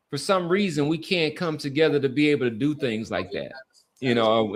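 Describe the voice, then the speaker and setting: A man hosts a casual sports podcast in a medium-pitched voice. He speaks earnestly in long, halting stretches, often repeating words as he builds his point. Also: slight background noise. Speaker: podcast host. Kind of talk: casual sports talk and commentary.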